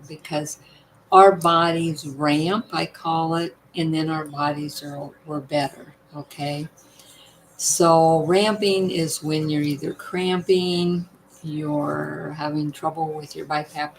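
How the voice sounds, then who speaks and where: Older woman, low worn voice. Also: a little hiss or hum, poor audio. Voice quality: voice partly worn, weak. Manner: chatty, emphatic, asks "you know what?". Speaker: vlogger sharing health tips. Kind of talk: casual talk to viewers.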